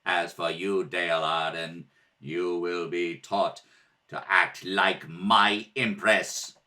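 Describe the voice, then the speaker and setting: A man's voice: low-pitched, flat delivery, slow pace, sharp tone, pitched below the performer's own voice, exaggerated voice. A man playing a cruel, imperious space emperor in the manner of an old radio serial, menacing and commanding. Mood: sneering menace. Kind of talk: 1930s radio serial acting.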